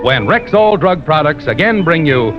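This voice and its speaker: A man's voice: on the high side, big pitch swings, normal speed, over music. A man announcing in polished, emphatic 1950s radio style, reading scripted copy like a salesman. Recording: muffled old radio recording.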